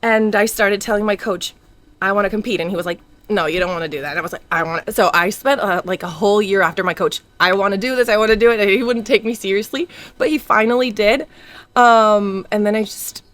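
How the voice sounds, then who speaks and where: A woman's voice: medium pitch, fast talker, fairly bright tone. A young woman from Spain with an American New Jersey accent, talking casually as an interview guest with many fillers. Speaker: guest, teacher and former boxer.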